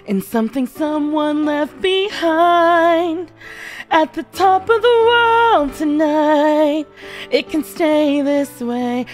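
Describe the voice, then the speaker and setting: A woman streamer with a hoarse voice, singing a ballad and then chatting with viewers in a bubbly, giggly way. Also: high voice. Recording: faint piano backing music. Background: soft piano backing during the song.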